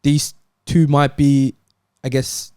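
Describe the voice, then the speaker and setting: Man, panellist, casual chat, soft-edged mellow tone, hedging manner, very dry. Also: medium pitch, level tone, slow, clean tone, dry recording. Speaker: football fan panellist.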